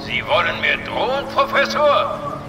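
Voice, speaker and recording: A blustering, pompous general in a radio play, barking orders in a very high, strained voice. He sounds roomy and distant, with music underneath. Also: very animated, unhurried. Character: blustering military general, male, middle-aged, authoritarian.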